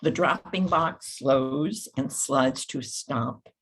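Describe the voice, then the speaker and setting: A woman's voice: very deep voice, takes it slowly, breathy edge. An older woman speaking at a literary event, introducing and reading memoir aloud.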